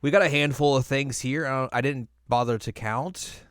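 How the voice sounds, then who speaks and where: A man's voice: medium pitch, normal speed, fairly bright tone, smooth, dry recording, clean studio sound. A man hosting a podcast in a casual, chatty style. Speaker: podcast host. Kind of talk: casual chat about video game films.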